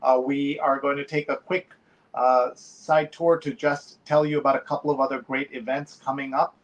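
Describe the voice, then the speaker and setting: A man's voice: mid-range voice, little pitch movement, moderate pace, highs cut off. A man hosting a live show in a conversational, effusive and promotional way. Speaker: talk show host.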